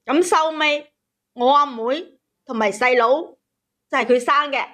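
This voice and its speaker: High voiced woman phoning in w her personal story, plaintive n kinda aggrieved. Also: measured pace, sharp tone. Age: 30s. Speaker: caller.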